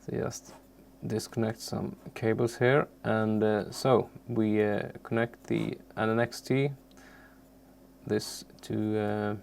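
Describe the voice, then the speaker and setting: Man, non-native English, low-pitched, very creaky voice, hesitant delivery, software tutorial, faint background noise. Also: flat delivery. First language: not English. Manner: halting, searching for words. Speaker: tutorial presenter.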